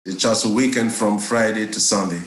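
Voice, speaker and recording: A man's voice: lowish voice, normal speed, a little hiss or hum. A man from Cameroon, a guest on a podcast, telling his story in a hesitant way with lots of fillers and laughter. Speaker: guest, jazz bass player. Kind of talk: casual interview chat. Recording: echoey room.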